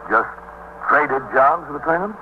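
A man playing a calm, matter-of-fact police captain in a 1950s radio drama, speaking in a measured, official way. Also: a little high, close to an everyday voice. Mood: businesslike. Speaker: lead actor and narrator. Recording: muffled, noisy 1950s radio recording.

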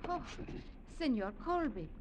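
An actress playing a frightened young woman in a 1950s radio drama, speaking in a high, breathless, pleading way. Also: big pitch swings, measured pace, background noise. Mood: alarmed.

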